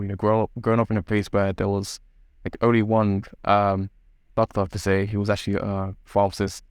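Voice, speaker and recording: Male interviewer, lowish and fairly level pitch, tentative roundabout phrasing, conversational podcast delivery. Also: a little mellow, no echo, clean studio sound.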